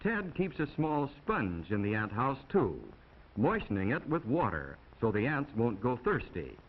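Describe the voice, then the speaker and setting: A man's voice: medium pitch, wildly swooping pitch, measured pace. An American man narrating a 1950s classroom film in a folksy, instructive way with chatty asides to young viewers, on an old film soundtrack. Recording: dull, noisy 1950 film soundtrack.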